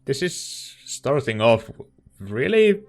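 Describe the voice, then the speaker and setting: A woman giving casual, expressive let's play commentary, reacting aloud to a game as she plays. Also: extremely low pitch, takes it slowly, over music.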